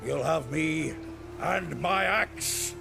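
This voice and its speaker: Gravelly, hearty dwarf rogue. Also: male voice, fairly high pitch, very slow, over music, exaggerated voice. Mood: determined. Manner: blunt, colloquial, hearty. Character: gruff, jovial, foul-mouthed male dwarf merchant and fighter. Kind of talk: video game character acting.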